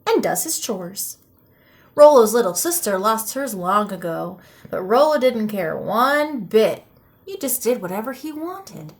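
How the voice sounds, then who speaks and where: A woman teacher reads a picture book aloud to children, taking her time with each line. Her voice sits a little high, and her delivery is slow and expressive. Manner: slow, expressive storytime reading.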